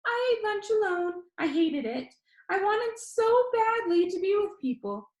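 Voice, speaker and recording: A woman voicing an energetic, upbeat, slightly weird young male video host in an audiobook, with bubbly, emphatic, encouraging delivery. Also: very high-pitched, a little slow, roomy sound, pitched above the performer's own voice, heavily acted. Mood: earnest, upbeat. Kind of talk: theatrical audiobook character voice.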